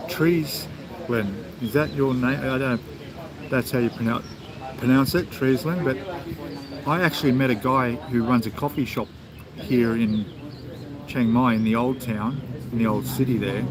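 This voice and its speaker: A man with an Australian accent, hosting a casual travel live stream and answering viewer comments in a rambling, chatty way, with plenty of ums and restarts. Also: mid-range voice. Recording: clear outdoor background noise.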